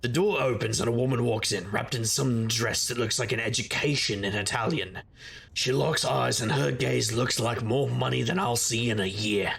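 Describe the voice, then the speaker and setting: A husky noir detective played by a man narrates an audio drama with heavy grit in the throat. He is wry and world-weary, delivering everything in a deadpan way at a middling pitch. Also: normal speed. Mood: dry, weary. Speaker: lead actor and narrator.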